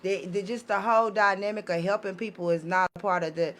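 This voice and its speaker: A man's voice: sits high, steady tone, fairly quick, a bit bright. A man on a casual podcast panel, speaking bluntly in slang and putting challenging questions to the others. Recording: background noise, live room ambience.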